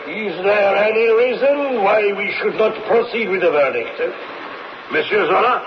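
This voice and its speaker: A man's voice: high voice, measured pace. A man in an old radio drama playing a stern French official in authority, formal and questioning. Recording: noisy muffled old radio recording.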